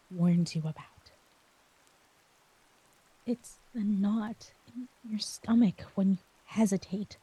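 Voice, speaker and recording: A woman performing an emotional ASMR monologue as an exhausted, anxious character, speaking softly and haltingly, often near a whisper. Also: medium pitch, breathy edge, poor audio. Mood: anxious, fragile. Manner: slow, halting, vulnerable delivery. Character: exhausted, anxious woman crushed by others' expectations. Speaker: audio roleplay performer.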